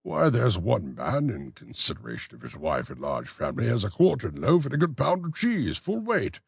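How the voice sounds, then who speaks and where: A man's voice: medium pitch, wildly swooping pitch, normal speed, gravelly, slightly rough, no echo, voice pushed up, heavily acted. A man voicing a pompous, self-important male parish beadle who lectures in a blustering way. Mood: indignant. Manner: blustering, self-satisfied, preachy.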